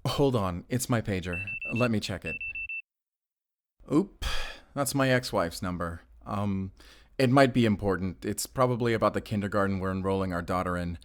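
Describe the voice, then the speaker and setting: A man's voice: fairly low pitch, moderate pace, background noise, close to an everyday voice. Man playing a flustered, friendly, awkward neighbor guy. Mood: mildly distracted. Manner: flustered, apologetic, rambling, nervous chuckles. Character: friendly, awkward, bird-loving divorced father and neighbor. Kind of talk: naturalistic audio roleplay acting addressed to the listener.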